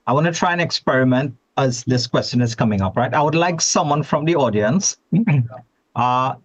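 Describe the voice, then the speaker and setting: Trini guy presenting on a video call, mid-pitch voice, muffled lo-fi audio, chatty, lots of restarts. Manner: chatty, repeats words when restarting. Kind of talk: conversational forum presentation.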